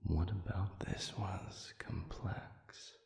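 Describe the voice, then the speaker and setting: A man voicing a formal, intimate demon in an audio roleplay, speaking in a measured, breathy, gravelly whisper. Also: sits low, fairly even intonation, darkish tone, echoey room, exaggerated voice. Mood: puzzled, curious. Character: formal, sadistic male demon with lost memory, tender and curious.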